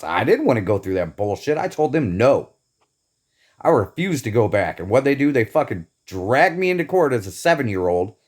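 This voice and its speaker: A man's voice: lowish voice, a little mellow. A man from Indiana delivering a bitter, profane, emphatic rant about his grievances. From Northeast Indiana, USA. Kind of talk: angry personal rant to camera.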